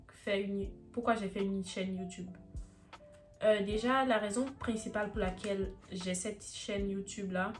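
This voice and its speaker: An eighteen-year-old woman with an Ivorian French accent talks casually to her camera. She hesitates and fills her pauses while she hunts for words. Soft music plays faintly behind her. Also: mid-range voice. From Ivory Coast. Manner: hesitant, searching for words, many fillers. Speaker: YouTuber. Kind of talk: casual vlog talk to camera.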